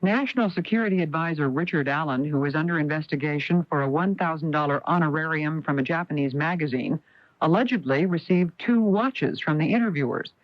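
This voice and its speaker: A man's voice: fairly high pitch, brisk, dry recording. An American man reading a scripted newscast as a radio anchor. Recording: dull lo-fi vintage AM radio.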